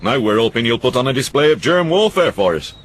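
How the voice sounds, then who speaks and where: A man's voice: medium pitch, sing-song, quick pace, a bit bright, slight background noise. A man voicing a cantankerous old uncle who mocks and rants. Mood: mocking. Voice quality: gruff, rambling, scornful. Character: cantankerous old northern uncle, sharp-tongued and mocking.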